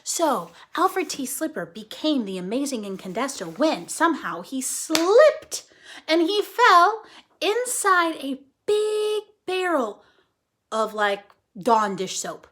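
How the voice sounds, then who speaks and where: A woman reading a children's story aloud as a storyteller, with dramatic, deliberate phrasing. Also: high voice, very animated, a bit bright. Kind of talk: expressive children's story narration.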